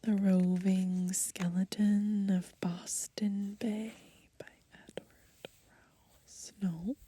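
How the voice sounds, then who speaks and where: A woman speaks in a very breathy, mostly unvoiced whisper. Her delivery is slow and gentle, with pauses between short phrases. The tone is soft and mellow, in the hushed style of an ASMR host reading aloud. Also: mid-range voice, flat delivery. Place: outdoors, lying in grass in the countryside.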